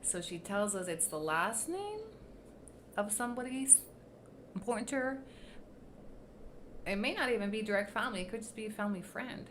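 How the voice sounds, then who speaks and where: A woman's voice: mid-range voice, animated, moderate pace, pauses often, fairly bright tone, a little hiss or hum. A woman with Spanish as her first language, hosting a casual livestream commentary, blunt and sarcastic with frequent swearing. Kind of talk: casual commentary reading posts aloud.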